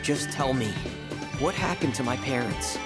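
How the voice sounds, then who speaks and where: A man's voice: medium pitch, animated, normal speed, loud music behind the voice, close to an everyday voice. An actor playing an earnest young man in a video game, speaking in an insistent, dramatic way. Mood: urgent, demanding.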